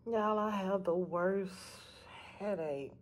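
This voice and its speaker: A woman talks to her followers from the driver's seat of her parked car, recording on a phone held up in front of her. She is chatty and animated, with a voice sitting at a middle pitch for a woman. Faint traffic hums outside the car. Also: slow pace. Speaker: vlogger. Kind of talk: casual vlog chat to viewers.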